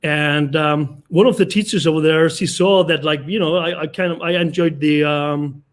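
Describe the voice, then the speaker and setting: Halting Icelandic-born man, chef guest. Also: on the high side, fairly even intonation. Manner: halting, many fillers and restarts. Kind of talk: casual online talk.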